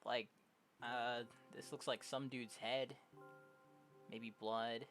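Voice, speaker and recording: A young adult game streamer casually reading visual novel dialogue aloud, jokey and prone to loud exclamations. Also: mid-range voice, takes it slowly. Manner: animated, jokey, exclaims loudly. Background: game music.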